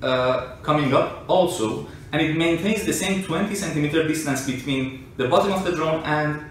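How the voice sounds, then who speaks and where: Echoey, higher-voiced man explaining informally. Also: normal speed. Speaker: explainer, self-described engineer and scientist. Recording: echoey room, distant mic, faint noise.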